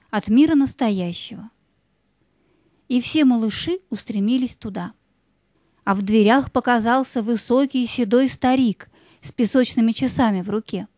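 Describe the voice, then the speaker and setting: A woman narrator tells a fairy tale in a measured, expressive way. Her voice is slightly high and mellow, and the recording is dry and close. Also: no room sound.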